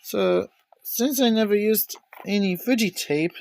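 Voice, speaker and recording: Slow-paced woman showing items on camera. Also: medium pitch, darkish tone. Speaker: video maker showing cassette tapes. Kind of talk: casual unscripted show-and-tell narration.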